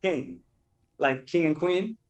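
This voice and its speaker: A man's voice: fairly high pitch, very animated, slow pace, darkish tone. A young man with a New York accent, a poet guesting on a podcast, talking casually with light laughs and hedging fillers. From Brooklyn, New York. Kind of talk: casual conversation.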